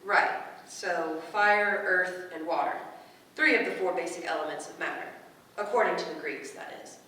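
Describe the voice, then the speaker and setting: A woman's voice: fairly low pitch, moderate pace, sharp tone, a little hiss or hum. Woman acting a bossy, haughty leader, echoey stage. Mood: analytical. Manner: imperious, precise wording. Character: bossy, formal, haughty woman who takes command. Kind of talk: stage play reading. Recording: very echoey room, distant mic.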